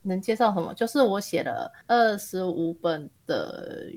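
A woman's voice: on the low side, sing-song, a little slow, darkish tone. A woman with a Taiwanese Mandarin accent chatting casually as a guest author, self-deprecating and exclamatory. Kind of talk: casual chat.

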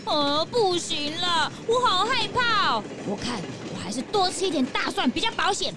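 A woman's voice: very high voice, very animated, moderate pace, heavy background noise. A woman playing a timid, superstitious young sidekick in a children's detective audio drama, childlike and whiny. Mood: spooked.

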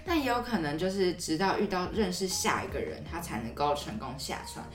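A woman's voice: fairly low pitch, animated, a little fast, music in the background. A woman co-hosting a podcast, explaining things in a conversational way with repeated connecting words and agreements. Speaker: podcast co-host. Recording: roomy echo, slightly distant mic.

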